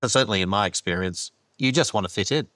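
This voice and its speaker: Deep-voiced thoughtful man, Canadian accent. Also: no room sound. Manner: measured, thoughtful, laughs softly. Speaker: archbishop guest. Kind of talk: reflective interview answers.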